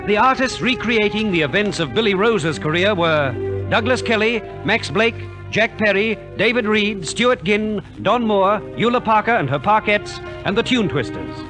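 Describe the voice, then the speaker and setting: Animated, theatrical 1950s radio compere, male. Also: sits high, moderate pace, music in the background. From Australia. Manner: formal, theatrical announcer delivery. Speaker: compere and narrator. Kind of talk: 1950s radio scripted narration. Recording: 1950s radio transcription recording.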